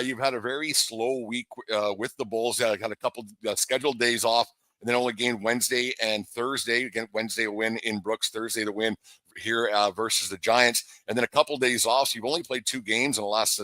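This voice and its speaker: A man hosting a live sports interview show, speaking in a halting, rambling way. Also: mid-range voice, sharp tone, dry recording.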